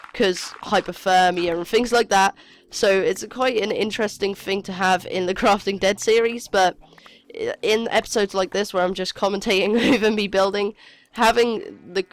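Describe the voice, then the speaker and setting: A woman with a cold, her voice sniffly and cracking, chatting hesitantly and thinking aloud as she commentates her own game. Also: mid-range voice. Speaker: gameplay commentator.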